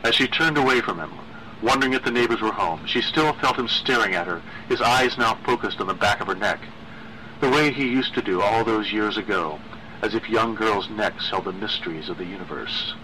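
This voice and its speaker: A man narrating a literary horror story in a measured, dramatic way. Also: fairly low pitch, background noise.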